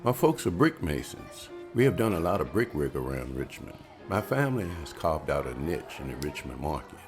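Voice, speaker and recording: A man's voice: extremely low pitch, big pitch swings, normal speed, music in the background, exaggerated voice. A man reading the part of a polite, earnest older suitor courting a young woman at a table read.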